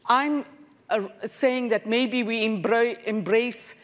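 A woman's voice: a little high, steady tone, slow. A woman in her sixties, speaking with earnest conviction as a professor on a conference panel, with a lapel mic. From South Africa. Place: large conference hall stage. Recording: reverberant hall.

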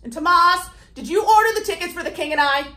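A woman playing a haughty, theatrical eighties businesswoman in a comedy sketch, prone to loud dramatic bursts. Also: very high-pitched, expressive, fast, voice pushed up, exaggerated voice. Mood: theatrical outrage. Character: imperious, theatrical 1980s businesswoman, musical fanatic. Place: indoors in a wood-paneled den.

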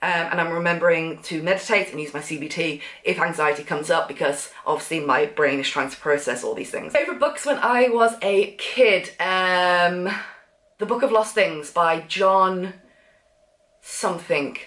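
A woman, chatting to camera in a casual, animated way, sounding a little blocked up from a cough. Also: lowish voice, normal speed, roomy sound. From England. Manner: chatty, animated, gestures while talking. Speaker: vlogger. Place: sunroom with sofa, indoors.

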